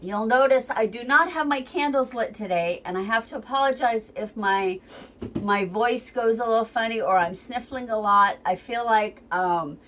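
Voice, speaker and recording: A woman's voice: fairly high pitch, normal speed, a bit bright, a little hiss or hum. A woman with a stuffy, runny nose giving a casual, rambling tarot reading at home near her kitchen, recorded on a tripod camera, with plenty of ums. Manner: chatty, rambling, many ums. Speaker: tarot reader.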